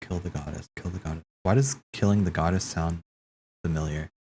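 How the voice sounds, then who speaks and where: A man with a somewhat low voice talks casually through a stream, working out ideas aloud. He trails off, restarts and piles on fillers as he goes. A faint hiss sits behind him. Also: brisk, darkish tone. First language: English. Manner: rambling, thinks aloud with many fillers and restarts. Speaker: streamer reacting to game cutscenes. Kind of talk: casual livestream chat.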